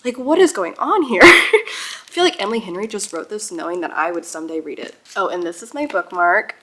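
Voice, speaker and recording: A woman's voice: medium pitch, animated, fairly quick, bright, forceful tone. A young woman chatting casually to viewers as a book vlogger, with a gushing, giggly and emphatic manner. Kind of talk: casual reading vlog chat.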